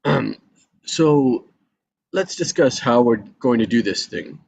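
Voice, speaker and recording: Man playing a gravelly, wry husband. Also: mid-range voice, lively intonation, slow, darkish tone, full character voice. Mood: businesslike, dry. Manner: dry, noncommittal, wry. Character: wry, hesitant yuppie husband. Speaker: actor in a live table read. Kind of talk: screenplay table read. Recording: dull mic with faint noise.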